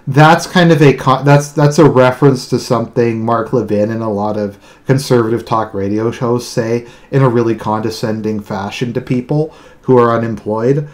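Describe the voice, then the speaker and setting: A man with a deadpan delivery speaks casually in a solo monologue, often repeating words and restarting his sentences. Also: medium pitch, normal speed, hardly any pauses. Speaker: online video commentator. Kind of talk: casual monologue explaining own humour.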